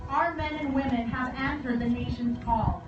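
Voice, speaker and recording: A woman delivering a formal commemorative speech as guest speaker at a memorial service, in a solemn, measured manner with deliberate phrasing. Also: high voice, fairly even intonation. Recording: echoey, distant, dull and very noisy.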